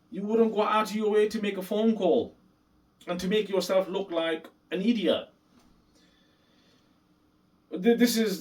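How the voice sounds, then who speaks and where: A man giving opinion commentary as a reaction video host, speaking emphatically and deliberately and repeating points for stress. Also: mid-range voice. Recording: voice distant from the mic.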